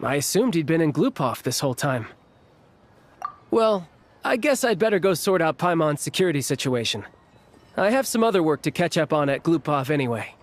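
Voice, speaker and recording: An actor playing a cordial young male high-ranking agent in a video game, talking in a casual, glib way. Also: very animated, normal speed. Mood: matter-of-fact. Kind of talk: video game cutscene acting. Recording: background music under the voice.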